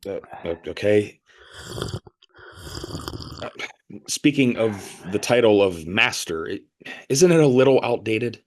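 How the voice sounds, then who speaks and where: A man's voice: fairly low pitch, animated, slow pace, long pauses. A man playing a curious, flustered interviewer in an audio play, with a hesitant, stammering, inquisitive manner. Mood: hesitant, probing. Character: curious, flustered male interviewer visiting a strange commune.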